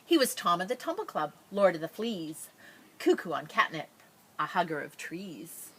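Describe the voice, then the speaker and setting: A woman reading a children's picture book aloud expressively, with drawn-out dramatic phrasing and playful asides. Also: fairly low pitch, sing-song, husky, rough recording. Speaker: storybook reader.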